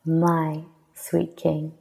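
A woman voicing a seductive, teasing ageless immortal lover in a romance audiobook, with a soft, breathy, unhurried purr. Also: deep voice, monotone, exaggerated voice. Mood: soft, fond. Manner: purring, crooning, unhurried.

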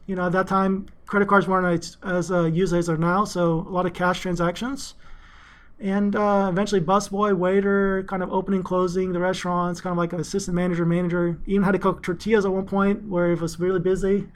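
A man's voice: high-pitched, little pitch movement, a little fast. A middle-aged man, a finance executive raised in Texas, telling rambling stories with many ums in a casual interview. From Greece, raised in Houston, Texas. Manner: chatty, rambling, frequent ums.

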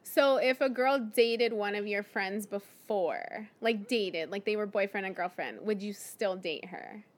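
A woman hosting a casual chat, asking dating questions in a playful, teasing way. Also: mid-range voice. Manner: playful, teasing, quick to laugh. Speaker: podcast host.

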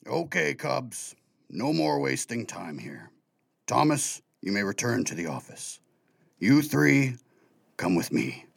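An actor playing a curt, commanding male guide in a children's audio drama, with a gravelly, husky voice. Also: medium pitch, big pitch swings, slow, dry recording, clean studio sound, heavily acted. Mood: brisk.

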